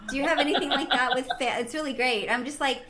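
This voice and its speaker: A woman's voice: sits high, steady tone, fast talker, a bit bright, soft background music. A woman hosting a podcast in casual chat, effusive and informal in the way she talks. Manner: effusive, informal, frequent "like" and "awesome". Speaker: podcast host.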